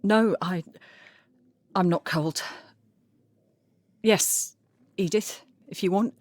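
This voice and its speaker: A woman's voice: fairly low pitch, very slow, dry recording. A woman playing a guarded but defiant French cabaret singer in an audio drama. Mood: guarded, uneasy.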